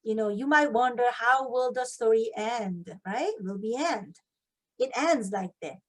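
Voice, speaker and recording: A woman with a very high voice speaks English with a Korean accent, as a native Korean speaker. She demonstrates reading picture books aloud like a teacher. Her delivery is expressive, full of prompting questions pitched at children. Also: a little slow. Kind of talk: picture book read-aloud demonstration with tips.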